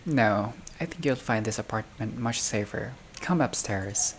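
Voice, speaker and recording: Creaky-voiced gamer reading visual novel text. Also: mid-range voice, a little slow. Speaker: gaming video creator playing a visual novel. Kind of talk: reading game text aloud with casual reactions. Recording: clearly noisy home recording, unstable audio.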